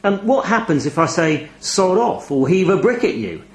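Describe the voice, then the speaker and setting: A man's voice: sits high, very animated, moderate pace, pitched above the performer's own voice. Man playing a sceptical, wry story hero, echoey. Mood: incredulous, brazen. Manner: sardonic, questioning, hesitant. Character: wry, incredulous male narrator-hero confronting a talking raven. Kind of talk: audio short story character dialogue.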